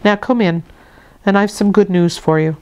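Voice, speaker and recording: A woman voicing a brisk young nursemaid from a storybook, cross but kindly, with a chiding, lilting manner. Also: medium pitch, fairly even intonation, a little mellow, smooth, lo-fi sound, close to an everyday voice.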